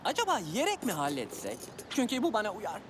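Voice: medium pitch, wildly swooping pitch, a little fast, noisy background. An actor voicing a playful, dramatic little cat sidekick in a children's cartoon dub, theatrical and a bit sheepish.

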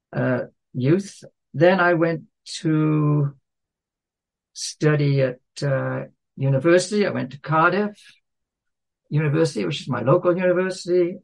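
Older british guy, author guest on a podcast, polite, kinda halting delivery. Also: mid-range voice, gravelly, rough recording. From South Wales, UK. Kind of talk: unhurried interview talk.

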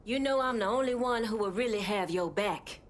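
An actress playing a shrewd, poised female gang boss in a crime game, measured and persuasive. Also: mid-range voice, slight background noise, close to an everyday voice.

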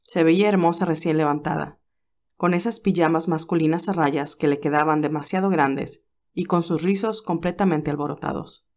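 A woman reading a romance novel aloud in a calm, even storytelling voice. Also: sits low, normal speed. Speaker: narrator reading a fan novel. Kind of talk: audiobook narration.

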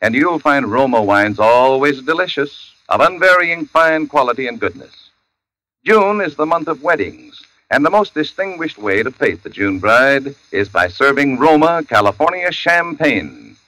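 Bright, animated 1940s radio pitchman. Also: moderate pace, no room sound, low-quality recording. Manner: polished, emphatic salesmanship. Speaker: radio commercial announcer.

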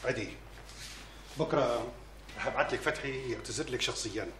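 Man acting a coaxing Syrian family man, bright-voiced. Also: mid-range voice, a little fast, natural voice. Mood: soothing, coaxing. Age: middle-aged. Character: firm but coaxing husband and father, a peacemaker. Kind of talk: TV drama acting. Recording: echoey room, noisy ambience.

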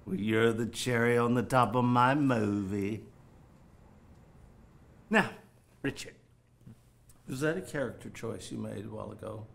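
A man's voice: mid-range voice, unhurried, creaky, pauses often. An actor playing a pompous, tyrannical film director, theatrical and condescending as he barks orders in a comedy.

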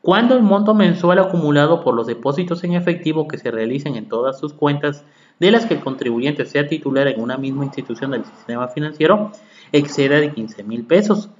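Mexican man lecturing on tax law. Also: fairly high pitch. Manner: reads legal articles aloud, then explains them with rhetorical questions to the audience. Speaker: accountant explaining tax law. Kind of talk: podcast lecture. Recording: echoey room, dull lo-fi sound.

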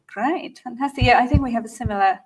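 A woman's voice: on the high side, quick pace, crowd noise. A woman hosting a live online session, welcoming guests and reading out where viewers join from in an upbeat way. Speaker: host.

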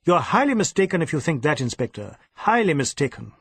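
A man voicing a brisk, proper older Indian father in an audiobook, with a clipped, old-fashioned, matter-of-fact way of talking. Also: a little high, very animated, gravelly, husky, dry recording, heavily acted. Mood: brisk, severe.

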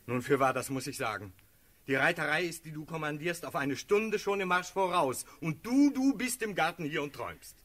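An actor playing a brisk, sardonic count and officer in a classical German radio drama, declamatory and emphatic. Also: male voice, on the high side, pushed and bright, dry recording. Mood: exasperated.

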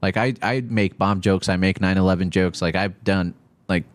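A man in his thirties who grew up in Iraq, a stand-up comedian guest talking in a halting, blunt, profane way at a studio desk mic. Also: sits low, fairly even intonation, no room sound. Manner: halting, many restarts and fillers, blunt and profane. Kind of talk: casual podcast chat. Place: small studio with textured walls. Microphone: desk mic on stand, headphones.

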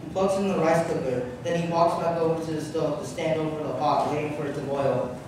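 A young man reads scripted stage directions as narrator. His voice is a little high, heard from off in a very echoey hall with clear background noise. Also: fairly even intonation, fairly quick, distant mic. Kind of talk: scripted narration, read aloud. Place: large public hall, on stage.

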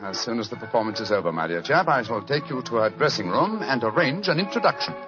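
An actor playing an urbane, commanding English detective with a British accent, speaking precisely in an old radio drama. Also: male voice, medium pitch, expressive, fast talker, lightly acted. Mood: confidential, assured. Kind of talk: 1940s radio acting. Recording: dull old radio recording over music.

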